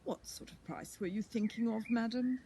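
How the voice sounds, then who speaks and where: A woman's voice: on the high side, moderate pace, dark tone, slight background noise, pitched above the performer's own voice, lightly acted. A woman voicing a courteous, kindly male shopkeeper in a children's storybook, with polite, formal patter.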